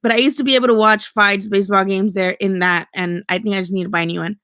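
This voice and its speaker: A woman's voice: medium pitch, fairly even intonation, quick pace, a bit bright, no echo, studio quality. A young woman from Mexico with a Mexican accent, Spanish her first language, chatting casually on a livestream at home, opinionated, swearing freely and filling gaps with "um" and "like". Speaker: boxing streamer answering chat.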